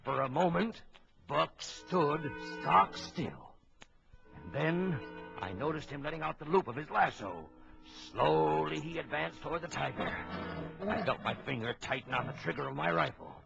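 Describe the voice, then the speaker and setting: A man's voice: a little high, lively intonation, normal speed, gravelly. A man playing an authoritative ship's captain who narrates a suspenseful animal adventure for a children's story record. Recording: old lo-fi children's record, music behind.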